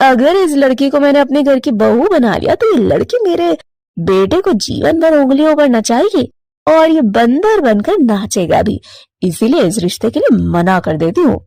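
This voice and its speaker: A woman's voice: high-pitched, very animated, normal speed, mellow, dry recording. A woman voicing a worried, long-suffering middle-aged mother crow in a cartoon story, fretful and motherly. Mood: fretful, grumbling. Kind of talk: animated cartoon moral story.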